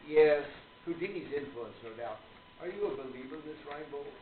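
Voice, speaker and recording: A man playing an earnest, genteel older gentleman author in a radio play, speaking in a formal, lecturing manner. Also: fairly high pitch, expressive, normal speed, close to an everyday voice. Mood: apologetic. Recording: lo-fi, echoey room, noisy.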